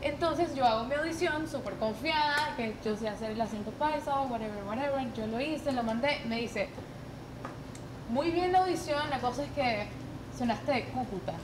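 A woman's voice: high-pitched, moderate pace. A young woman, an acting guest on a podcast, telling stories casually and informally with English words dropped in. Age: young adult. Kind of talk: casual storytelling chat. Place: shop with shelves of goods, indoors. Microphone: podcast mic at table. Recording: echoey, noisy indoor shop.

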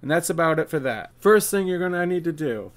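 Higher-pitched man giving a casual tutorial. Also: lively intonation, fairly quick. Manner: plain-spoken, instructional, addressing viewers directly. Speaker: video creator, tutorial presenter. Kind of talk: casual step-by-step tech tutorial. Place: indoor room, seated at a desk.

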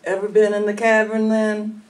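An older woman playing a brisk country doctor and narrating a 1940s radio mystery. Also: mid-range voice, monotone, close to an everyday voice. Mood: urgent, inquiring. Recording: distant hall mic, faint noise.

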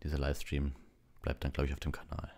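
A young adult man in a relaxed style, reading a bedtime story or chatting casually as a livestreamer. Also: rumbling low, fast talker. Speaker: audiobook reader and livestreamer. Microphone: ordinary plugged-in microphone.

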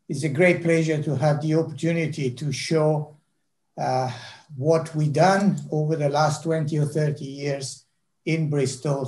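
A man's voice: fairly high pitch, darkish tone. A middle-aged man from Italy with an Italian accent, presenting with dry self-deprecating humour over a video call from a home office. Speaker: heart surgeon giving a talk.

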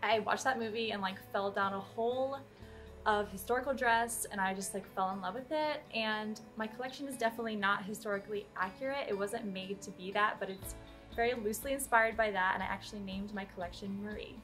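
A young woman design student answering interview questions in a relaxed way, saying like often. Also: mid-range voice, brisk, soft background music. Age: young adult.

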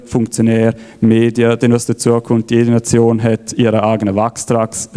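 A man's voice: mid-range voice, warm tone. A man with a presenting on stage in a hall, speaking with many fillers and restarts into a handheld mic. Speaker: event organizer presenting.

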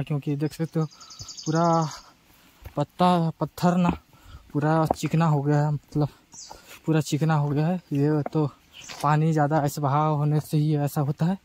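A man's voice: a little high, darkish tone, slight background noise. Chatty young man vlogging outdoors. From Chhattisgarh. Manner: chatty, addresses viewers as guys. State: tired. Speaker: travel vlogger. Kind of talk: casual vlog narration while riding and hiking. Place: outdoors on rural roads and forest trails.

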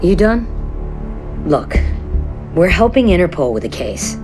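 A woman's voice: fairly low pitch, very animated, a little slow. An actress playing a tough, cool-headed, no-nonsense woman racer, blunt and assured, in a video game cutscene. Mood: firm, blunt. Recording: loud music under the voice.

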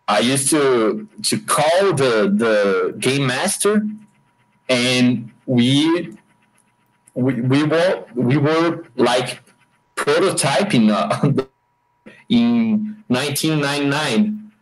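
A man's voice: mid-range voice, extremely slow, rough recording. A man from Brazil, Portuguese native, speaking English with a Brazilian accent as an interview guest, hesitant with many restarts. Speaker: interview guest, game designer. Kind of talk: casual interview over a call.

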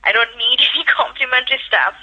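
A woman's voice: on the high side, fast talker, sharp tone. A woman answering a prank call on the phone, polite but puzzled and firm. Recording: dull telephone line.

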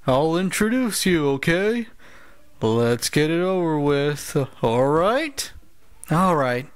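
A man's voice: on the high side, sing-song, slow pace, dry recording. A man playing a whiny broke cartoon announcer. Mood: apologetic. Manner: whiny, complaining and sarcastic, with many sighs and groans. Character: grumbling, broke adult male cartoon show announcer. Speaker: show announcer. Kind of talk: comedic cartoon voice acting.

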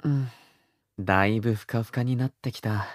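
A man playing a gentle, teasing young boyfriend in an intimate ASMR roleplay, with a soft, breathy, murmuring delivery. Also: fairly low pitch, no room sound, clean studio sound, lightly acted. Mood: tender, reassuring. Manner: slow, tender, murmuring, slipping into whispers. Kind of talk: intimate ASMR boyfriend roleplay in Japanese audio drama style.

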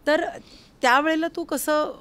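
A woman's voice: high voice, fairly even intonation, a little slow, fairly bright tone. A middle-aged woman hosting a casual interview, thinking aloud as she frames her questions. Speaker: host, interviewer. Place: recording studio, indoors. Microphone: studio mic on a stand.

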